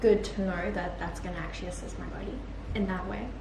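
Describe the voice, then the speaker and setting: A woman's voice: on the low side, fairly even intonation, fast talker. A young woman chatting casually to camera in a day-in-the-life vlog, with lots of fillers and an upbeat tone. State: croaky from just waking up. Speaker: vlogger. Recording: echoey room with clear background noise.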